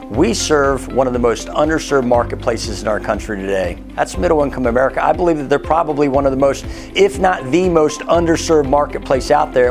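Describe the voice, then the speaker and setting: Persuasive man pitching over music. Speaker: insurance agency leader. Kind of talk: promotional testimonial. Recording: background music.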